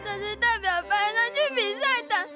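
A woman's voice: extremely high pitch, fairly quick, sharp tone, faint music behind. A girl acting an indignant, proud young big sister in a radio drama. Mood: outraged. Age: child.

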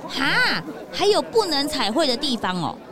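A woman voicing an excitable, naive and curious young cartoon sidekick in a children's detective radio drama, with wide-eyed, exclamatory reactions. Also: high voice, normal speed, crowd noise. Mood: curious, pouty.

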